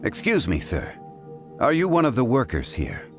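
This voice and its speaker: An actor playing a calm, erudite and dignified gentleman in a video game, speaking in a measured, formal way. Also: mid-range voice. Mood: composed. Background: game music.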